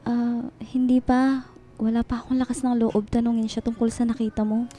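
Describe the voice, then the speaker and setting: An actress playing a meek but suspicious devoted wife in a radio drama. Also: female voice, fairly high pitch, monotone, moderate pace, mellow, lightly acted. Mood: hurt, confronting. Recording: music behind the dialogue.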